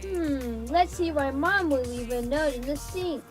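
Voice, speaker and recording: A woman's voice: high voice, unhurried, over music. A woman voicing a cheeky young boy. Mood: musing. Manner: playful, mock-dramatic.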